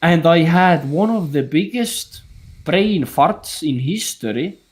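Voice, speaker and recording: A man's voice: on the high side, expressive, slow. A man, a guest on a group video call, talking bluntly and swearing freely as he rambles through stories. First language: Estonian. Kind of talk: casual chat on a group call.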